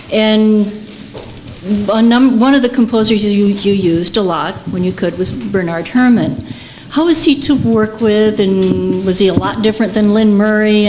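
A woman's voice: medium pitch, a little mellow, slight background noise, low-quality recording. A woman asking a question from the audience at a public panel in a meeting room.

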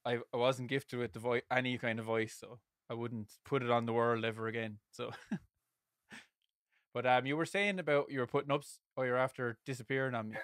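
A man in his thirties with an Irish accent, hosting a podcast from his home music room with a studio mic, talking loudly and energetically and laughing often. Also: medium pitch, steady tone, moderate pace, no room sound. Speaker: podcast host. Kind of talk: casual chat. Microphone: headset and studio mic.

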